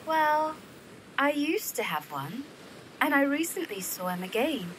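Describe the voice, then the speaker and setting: A woman's voice: sits high, sing-song, unhurried, slight background noise, poor audio. A woman playing a young, worldly, protective Victorian widow and elder sister in an audio drama. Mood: confiding. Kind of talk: period audio drama acting.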